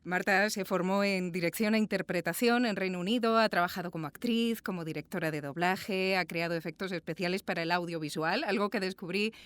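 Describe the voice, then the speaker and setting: A woman's voice: fairly low pitch, fairly quick, few pauses, fairly bright tone, clean tone, no echo. A woman hosting a podcast interview, effusive and chatty. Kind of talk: warm podcast presenting and interviewing. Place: small sound booth.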